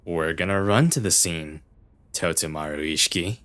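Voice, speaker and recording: A man playing an aloof, eccentric young detective in an anime dub, with a deadpan, theatrical delivery and a weary air. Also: low-pitched, moderate pace, smooth. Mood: curt, dry.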